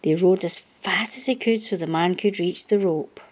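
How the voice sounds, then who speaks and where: A woman reading a children's storybook aloud as an expressive narrator. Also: lowish voice, normal speed.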